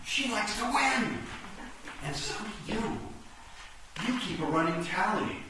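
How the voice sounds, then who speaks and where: Distant in a noisy echoing room, a man plays a warmongering chess piece for a radio play. His high voice is pompous, oratorical and scornful. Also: animated, measured pace. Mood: scornful persuasion. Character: scornful, warmongering male chess piece, old-order loyalist. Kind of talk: live radio play acting. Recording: echoey noisy stage, far from mic.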